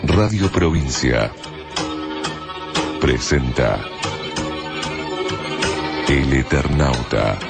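A man's voice: a bass voice, expressive, very slow, creaky, long pauses. A man announcing radio drama titles in a scripted station presenter style. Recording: loud music under voice.

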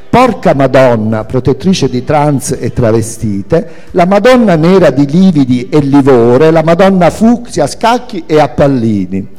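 A man reads a literary memoir aloud with a theatrical storyteller's delivery. His mid-pitched voice is mellow and moves through lively swings of intonation. It rings in a long hall reverb, with music playing faintly underneath. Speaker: reader at a spoken-word event with music. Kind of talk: expressive literary reading of a memoir.

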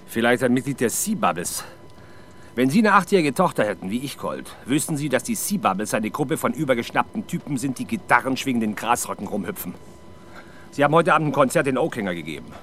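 An actor playing a weary, sardonic middle-aged police inspector in a German radio crime drama, grumbling with dry irony. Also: male voice, mid-range voice, big pitch swings, quick pace, fairly bright tone. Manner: grumbling, dryly ironic. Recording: faint background noise.

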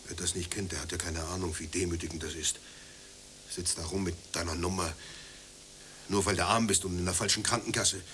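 A man's voice: sits low, steady tone, a little fast, pushed and bright. An actor playing a poor, prudish father hungry for respect, grumbling and ranting in a radio play. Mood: brooding, bitter. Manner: grumbling, ranting, swinging between brooding and heated outburst. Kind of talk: German radio play acting. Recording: noisy 1980s radio play recording.

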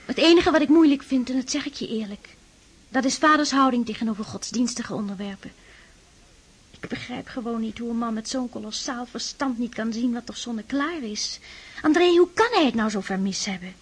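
An actress playing a shy, devout aristocratic sister in a Dutch radio drama, speaking earnestly and tenderly, with pleading admonishment. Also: female voice, a little high, a little fast, breathy edge. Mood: earnest, admonishing.

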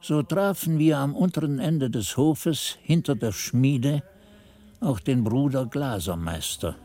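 An actor playing a reflective monk who narrates memories of his youth in a radio drama, measured and contemplative. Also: mid-range voice, animated, dark tone.

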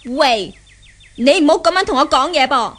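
An imperious young heiress in a 1970s radio drama. Her voice is high and swings widely in pitch, with a Hong Kong Cantonese accent. She is haughty, sneering and used to giving orders. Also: lively intonation, moderate pace, a bit bright. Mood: scornful, impatient. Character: arrogant, domineering rich young woman, jealous love rival.

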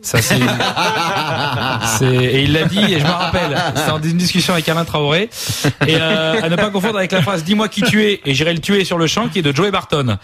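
A man giving an ironic, mock-serious football comedy chronicle on live radio, talking in rapid banter. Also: a little high, crowd noise. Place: radio studio.

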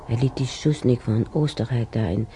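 An actress playing an older woman in a radio play, musing haltingly and sorrowfully over the past in a husky, gravelly voice. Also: a bass voice, mellow. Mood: anxious, bitter. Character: older woman, sorrowful, brooding, recalling war years. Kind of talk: radio play monologue, reminiscing.

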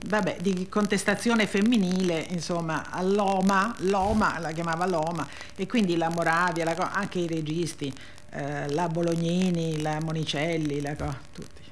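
A woman guest speaking informally in a radio interview, halting as she searches for words. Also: lowish voice, slight background noise. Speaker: guest reader and performer.

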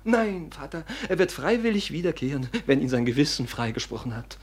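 Scheming, theatrical younger son, wildly swooping delivery. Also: male voice, a little high, big pitch swings, a little fast, husky, exaggerated voice. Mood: urgent persuading. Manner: theatrical, declamatory, oily with feigned devotion. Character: scheming, envious younger son, falsely pious, coldly ambitious. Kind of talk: classical German radio play acting.

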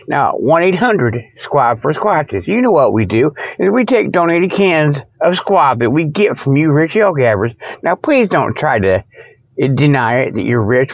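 A man with a slightly high voice rambles through a comedic radio monologue in a dry, close sound. He is folksy and digressive, stammering and chuckling at his own jokes. The recording is dull and lo-fi. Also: creaky, dry recording. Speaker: radio show host.

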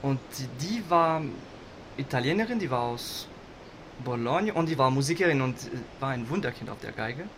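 A man playing a chatty cellist who tells a rambling anecdote, halting and correcting himself in slightly faulty German grammar. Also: mid-range voice, lively intonation, fairly bright tone, a little hiss or hum. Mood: chatty, musing. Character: cellist of a string trio, chatty, rambling storyteller. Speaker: musician being interviewed. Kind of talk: casual anecdote telling.

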